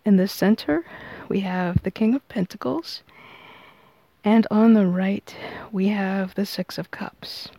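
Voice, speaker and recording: A woman hosts a tarot reading in a gravelly, mellow voice at a middling pitch. She explains things in measured, unscripted phrases. The sound is close and dry, with very little room to it. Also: no echo, low-quality recording. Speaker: tarot reader and podcast host.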